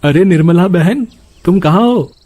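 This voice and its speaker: A man's voice: sits high, expressive, unhurried, warm tone. A man voicing an affectionate, easygoing city brother in a cartoon story. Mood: cheerful. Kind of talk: Hindi cartoon character acting.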